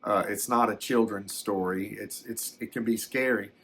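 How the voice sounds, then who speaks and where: A man playing a gentle, rambling older man who recalls his alien abduction in a hesitant, folksy, reflective way for an ASMR story. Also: fairly low pitch, steady tone, moderate pace, a little hiss or hum. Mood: reflective, halting. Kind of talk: first-person ASMR storytelling.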